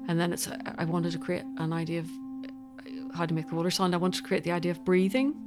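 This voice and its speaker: A woman's voice: fairly low pitch, flat delivery, fast, loud music behind the voice. A woman speaking as an interview guest, thoughtfully explaining her work as a composer in loose, unfolding sentences. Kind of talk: reflective interview conversation.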